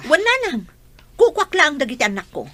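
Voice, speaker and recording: A woman's voice: sits high, huge pitch swings, normal speed, pushed and bright, dry recording, full character voice. A woman playing an embittered, quarrelsome mother in a radio drama, with a scolding, indignant manner. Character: embittered, quarrelsome mother of two, separated from husband. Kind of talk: Ilocano radio drama acting.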